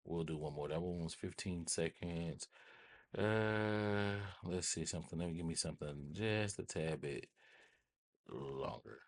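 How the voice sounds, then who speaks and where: Very deep, husky-voiced man giving a tutorial. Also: a little slow. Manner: informal, thinking aloud, repeats phrases. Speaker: tutorial host. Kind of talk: casual screen-share software tutorial.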